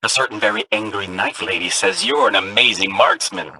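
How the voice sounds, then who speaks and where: A loyal little robot sidekick in a sci-fi game. He chatters eagerly, with very lively pitch and a gravelly, husky grain. The sound is dry and close. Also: fairly quick, dry recording, heavily acted, low-quality recording. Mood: eager, fond. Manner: eager, expressive. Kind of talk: video game dialogue.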